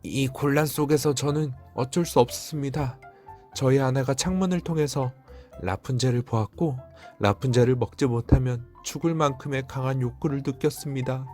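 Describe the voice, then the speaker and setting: A man's voice: medium pitch, moderate pace, darkish tone, faint music behind, voice pushed up, natural voice. Man acting a pleading, raised-voice storybook husband. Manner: earnest, pleading. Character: frightened, pleading husband caught stealing, humble and desperate.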